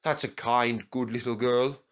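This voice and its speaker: A man's voice: mid-range voice, steady tone, unhurried, fairly bright tone, lightly acted. A man voicing a sleepy, vain but kindly male steam engine in a children's storybook. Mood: eager, pleading. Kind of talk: children's storybook character acting.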